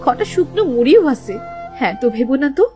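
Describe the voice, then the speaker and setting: A woman voicing a caring, practical village mother in a cartoon, expressive and homely. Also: high voice, fast, over music. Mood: concerned. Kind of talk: animated moral story acting and narration.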